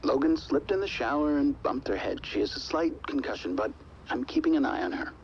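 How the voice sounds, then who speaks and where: A man's voice: a little high, very animated, fairly quick, highs cut off, slight background noise. An actor playing an affectionate, soothing husband and father in a naturalistic, casual video game drama. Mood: reassuring.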